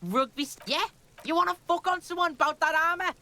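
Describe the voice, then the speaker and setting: A shrill, scornful street kid. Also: a little fast, pushed and bright, slight background noise, exaggerated voice. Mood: aggressive taunting. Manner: brash, swearing, taunting, speaks of self in third person. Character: foul-mouthed, aggressive, scornful street kid. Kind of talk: video game character dialogue.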